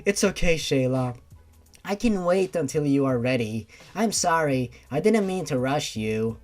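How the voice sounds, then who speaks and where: A man voicing a gentle, devoted young boyfriend in a love story, speaking softly and reassuringly. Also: a little high, lively intonation, measured pace, soft background music, pitched below the performer's own voice. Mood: tender, reassuring. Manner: soft, reassuring.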